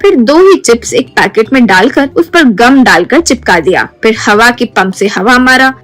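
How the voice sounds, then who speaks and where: Female narrator for a moral story, voice on the higher side, light music underneath. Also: lively intonation, moderate pace, a bit bright. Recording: music behind the voice. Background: background music.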